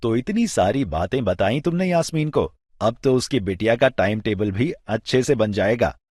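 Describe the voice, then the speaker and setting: A man's voice: medium pitch, animated, normal speed, a little mellow, no room sound, studio quality, lightly acted. A man playing a good-humoured, curious and agreeable father in an educational drama, speaking expressively. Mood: agreeable, thoughtful. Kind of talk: scripted educational audio drama dialogue.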